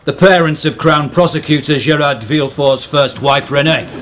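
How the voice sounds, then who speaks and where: An actor playing a grave manservant testifying in a radio drama. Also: male voice, fairly high pitch, moderate pace, fairly bright tone, slight background noise, close to an everyday voice. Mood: solemn. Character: grave servant, former gardener, testifying in court. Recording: music behind.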